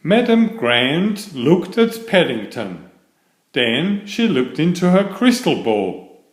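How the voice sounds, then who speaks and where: Slow, lively male storybook reader. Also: a little high. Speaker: children's story reader and author. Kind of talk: scripted storybook narration, read aloud. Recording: roomy, echoing sound.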